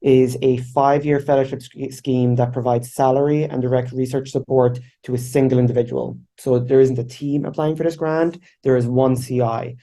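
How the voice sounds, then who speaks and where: An Irish man in his thirties gives a conference talk in a low-key, conversational way, leaning on easy fillers. His voice is mellow and dark, with a flat, even pitch. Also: normal speed, few pauses. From Ireland. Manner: relaxed, informal, many fillers like "kind of". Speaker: researcher presenting at a webinar. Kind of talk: conference presentation.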